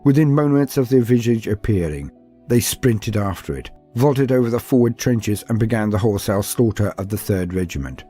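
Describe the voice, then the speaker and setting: A man reading scripted lore as a theatrical storyteller, with dramatic pauses and asides. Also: lowish voice, lively intonation, warm tone, breathy edge, faint music behind. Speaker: narrator of a lore guide.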